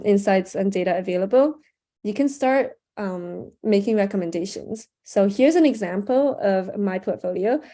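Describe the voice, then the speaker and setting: A woman's voice: mid-range voice, dark tone. Casual young woman with a sore throat. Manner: casual, chatty, frequent "um" and "like". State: sore throat, clearing it often. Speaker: workshop instructor. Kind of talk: live online workshop screen-share tutorial.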